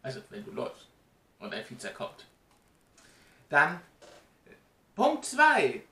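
A man's voice: a little high, wildly swooping pitch, takes it slowly, bright, forceful tone, a little hiss or hum. A man, chattily explaining things to camera with emphatic, direct address, recorded on a webcam in a room at home. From Germany. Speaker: vlogger. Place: small indoor room at home. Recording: roomy, distant webcam sound.

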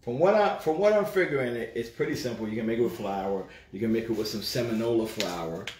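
A man's voice: mid-range voice, quick pace, a little mellow. A middle-aged man hosting a casual live cooking stream from his home kitchen, joking with viewers and family as he works. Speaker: cooking show host. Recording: echoey kitchen with clattering dishes. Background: clatter of dishes and utensils.

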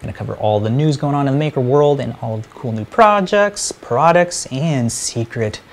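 Gravelly easygoing man co-hosting. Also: mid-range voice, animated, normal speed. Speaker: co-host. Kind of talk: casual live-stream chat.

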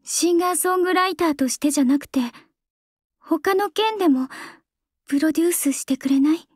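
Game idol singer: composed and soft-spoken, high and dry close-mic sound. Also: female voice, brisk, no room sound. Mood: calm. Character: young female idol singer, cool and earnest. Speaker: game character voice. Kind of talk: game voice lines. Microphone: close studio mic, dry.

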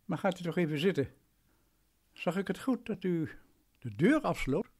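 A man playing a condescending, self-important lawyer in a radio drama, pompous and deliberate. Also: sits high, big pitch swings, a little mellow, full character voice. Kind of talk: radio drama acting in Dutch.

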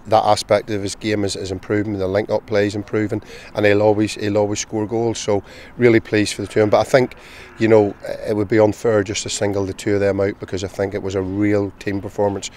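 A middle-aged man with a Northern Irish accent, a football manager giving a measured post-match interview outdoors at a stadium, with many "you know" fillers. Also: on the low side, monotone, a little mellow, a little hiss or hum. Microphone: handheld interview mic, close camera.

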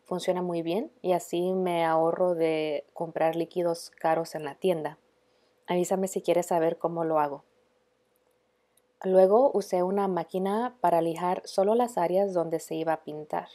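Female DIY vlogger, 30s, relaxed low voice, informal narration with English borrowings. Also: normal speed. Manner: casual, mixes in English words. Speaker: DIY home vlog host. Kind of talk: casual narration of a DIY makeover.

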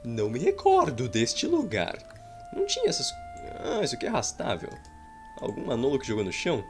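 A man's voice: mid-range voice, wildly swooping pitch, a little fast, over music. A man giving playful, casual commentary over a game, adding his own combat sound effects, recorded at a home desk mic. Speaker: gaming YouTuber. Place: small room at home.

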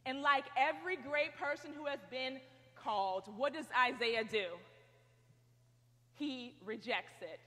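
Bright young woman preaching in a chapel. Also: high-pitched, a little slow. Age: young adult. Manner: animated storytelling preacher, acting out voices. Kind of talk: sermon. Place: large stone chapel. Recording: long hall reverb.

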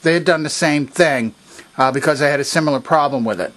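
A man's voice: a little high, a little fast, fairly bright tone. An older man narrating a hands-on repair demonstration in a casual, unscripted, methodical step-by-step way. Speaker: presenter of a vintage electronics repair video. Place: indoor workbench.

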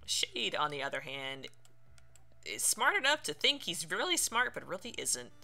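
A game streamer giving casual, playful let's play commentary with lively reactions. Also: mid-range voice, normal speed, vocal fry. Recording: game music behind.

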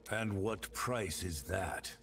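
An actor playing a grim, terse, battle-worn wandering hero in a video game. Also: male voice, sits low, heavily acted. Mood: guarded. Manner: terse, deliberate. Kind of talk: video game character dialogue. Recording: loud game music behind.

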